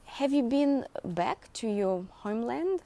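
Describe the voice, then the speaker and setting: A woman's voice: on the high side, expressive, a little slow, vocal fry, crowd noise. A woman interviewing guests, asking questions and encouraging them with frequent affirmations.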